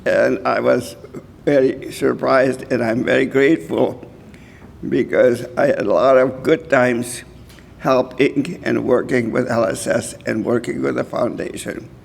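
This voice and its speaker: Slow, gravelly man over ninety. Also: mid-range voice, steady tone, a little hiss or hum. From Hungary. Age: 90s. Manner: slow, halting, frequent throat clearing. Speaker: award recipient. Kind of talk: acceptance speech. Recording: reverberant hall.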